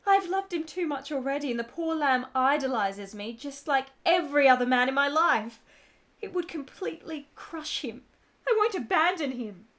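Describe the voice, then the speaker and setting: A woman's voice: high-pitched, expressive, normal speed, a bit bright. An actress playing a passionate, guilt-ridden married woman in a period radio drama, with theatrical emotion and formal phrasing. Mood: protective, tender.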